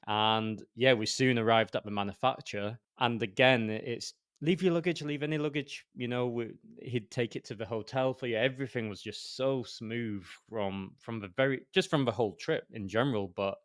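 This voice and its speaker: A man's voice: medium pitch, normal speed, few pauses, clean tone, no room sound, clean studio sound. A man with a Yorkshire accent, co-hosting a podcast and telling a rambling, enthusiastic travel story with frequent restarts. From northern England. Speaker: podcast co-host. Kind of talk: casual storytelling.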